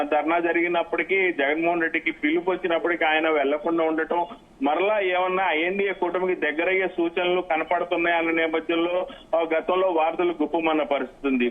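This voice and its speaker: A man giving an unscripted live political news report, in halting, repetitive phrasing. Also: sits high. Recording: phone-like, thin narrowband line.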